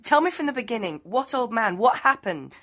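A man's voice: very high voice, big pitch swings, a little fast, pushed and bright, voice pushed up, heavily acted. A man voicing a level-headed young boy who speaks firmly and directly. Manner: firm, direct questions.

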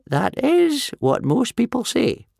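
A man voicing a gloomy, ancient village healer and soothsayer in a storybook, with a wheezy croak and a slow, muttering manner. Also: very high-pitched, wildly swooping pitch, a little mellow, dry recording, clean studio sound, voice pushed up, full character voice. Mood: gloomy, ominous. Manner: slow, muttering, tut-tutting.

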